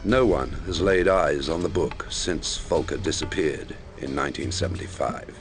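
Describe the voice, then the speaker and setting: Casting: male investigative reporter recounting a case in a video game, low register, measured, hesitant delivery, music bed behind. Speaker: narrator, investigative journalist character. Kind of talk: documentary-style first-person game narration.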